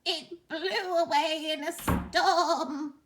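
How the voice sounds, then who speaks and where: A woman voicing a forlorn goat in a children's storybook, with a wobbly bleat and plaintive, drawn-out words. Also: very high voice, steady tone, noisy background, voice pushed up, heavily acted. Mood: sad.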